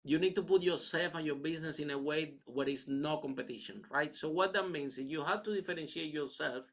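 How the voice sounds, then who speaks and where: A man's voice: fairly high pitch, brisk. A man from Cuba with a Cuban Spanish accent, hesitant with fillers, answering a question as a panel speaker. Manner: hesitant, with many fillers and restarts.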